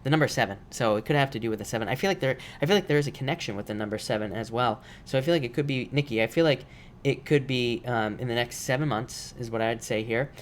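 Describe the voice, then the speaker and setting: Guy doing a live tarot stream, voice kinda rough from allergies, lots of uhs and likes, middle pitch, bit of hiss behind. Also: fast talker. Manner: casual, repetitive, many fillers. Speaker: tarot reader.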